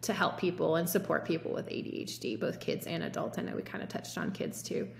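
A woman's voice: on the low side, very animated, fairly quick, gravelly. Woman doctor on a roomy video call. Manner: explanatory, hedging with fillers. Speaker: physician guest. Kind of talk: conversational medical discussion. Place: home office with window. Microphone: laptop or webcam mic on video call. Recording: roomy video call audio with faint noise.

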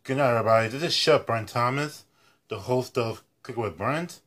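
A man's voice: medium pitch, normal speed, fairly bright tone. Young man, cooking show host, casual talk to camera, emphatic catchphrases, home kitchen, camera mic. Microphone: built-in camera mic at close range.

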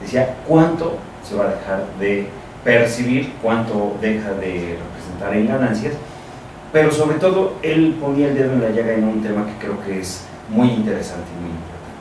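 A man with a Mexican accent giving conversational commentary as a radio host, discursive and often checking for agreement. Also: lowish voice. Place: small radio studio, indoors. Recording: echoey, distant, noticeable background noise.

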